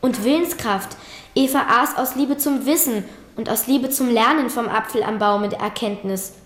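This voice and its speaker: An actress playing a bright, combative young medieval girl in a German radio drama, speaking articulately. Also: on the high side, moderate pace, reverberant, lightly acted. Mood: defiant, reasoning.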